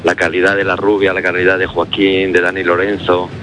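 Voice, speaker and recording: A middle-aged man who is a guest on a radio interview, answering in a measured, polite, somewhat hesitant way. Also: sits low, monotone, a bit bright, a little hiss or hum.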